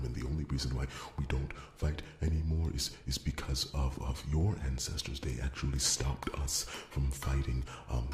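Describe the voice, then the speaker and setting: A man's voice: rumbling low, reverberant, a little hiss or hum. A man voicing an earnest, wordy dwarf loyal to royalty, stammering and repeating himself deferentially in an ASMR fantasy roleplay. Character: rambling, earnest, wounded-pride dwarf smith loyal to royalty.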